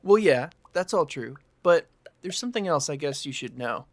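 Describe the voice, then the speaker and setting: A man's voice: fairly high pitch, moderate pace, slight background noise, natural voice. A man playing a laid-back, welcoming young game-night host in an audio drama. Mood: ominous hint. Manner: casual, relaxed hospitality. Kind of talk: naturalistic audio drama acting. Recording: music behind the voice.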